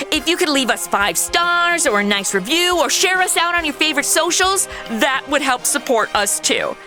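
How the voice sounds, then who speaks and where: A woman hosting a podcast, perky and informal, narrating and addressing listeners. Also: high voice. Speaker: podcast host.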